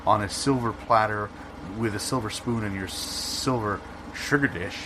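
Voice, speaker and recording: A man's voice: lowish voice, normal speed, close to an everyday voice. A man playing a self-important debate veteran in improvised comedy audio, speaking in a digressive, condescending way. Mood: dry, aggrieved. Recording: loud vehicle and outdoor noise behind.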